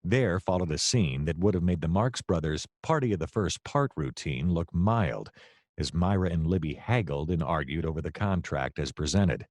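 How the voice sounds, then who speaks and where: An actor playing a wry, deadpan private detective who narrates a noir comedy radio play in wordy style. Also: male voice, sits low, moderate pace, dry recording, clean studio sound. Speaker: narrator and lead character.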